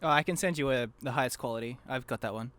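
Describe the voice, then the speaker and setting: A man's voice: mid-range voice, fast, fairly bright tone. A man chatting casually and informally as a guest on a podcast. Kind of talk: casual chat.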